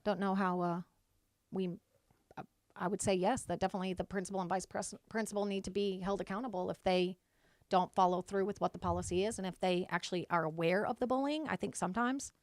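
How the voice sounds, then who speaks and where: A woman's voice: mid-range voice, steady tone, fast talker, no room sound. A woman in her forties from Michigan, earnestly answering interview questions and often agreeing with "right.". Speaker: school board candidate.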